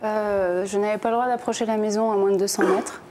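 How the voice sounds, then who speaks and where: A woman's voice: mid-range voice, steady tone, a little fast, noisy background. A young woman, a guest on a television talk show, telling her own story plainly, with frequent hesitations. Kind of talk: recounting personal story on TV. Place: television studio.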